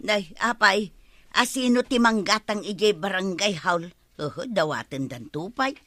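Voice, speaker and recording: A woman's voice: mid-range voice, expressive, unhurried, a bit bright, no echo. A woman playing a plaintive but cheeky village woman in a comedy radio drama, drawing out her words. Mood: grumbling.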